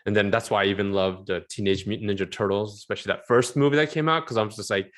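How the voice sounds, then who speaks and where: Low-voiced animated man in his forties. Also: fast. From Southern California. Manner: animated, rambling, laughs often. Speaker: interview guest, film concept artist. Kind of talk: casual interview chat.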